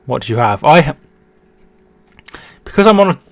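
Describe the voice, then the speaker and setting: A man's voice: on the high side, no echo. A man hosting a casual football watch-along stream from home, reading out chat and calling the match. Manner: chatty, repeats words and restarts while reading chat.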